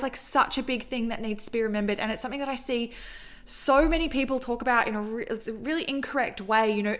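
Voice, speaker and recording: A woman's voice: fairly high pitch, a bit bright. A young adult woman hosting a solo podcast, talking earnestly and repeating herself, with many "like"s and "okay"s. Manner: earnest, repetitive, filler-heavy with frequent "like". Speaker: podcast host and mentor.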